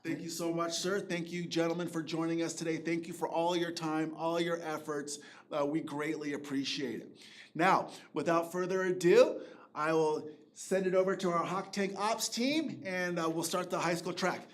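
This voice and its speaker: Emcee reading formal opening remarks. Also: male voice, a little high, fairly even intonation, normal speed. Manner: read-out formal, then loose with fillers. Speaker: event emcee.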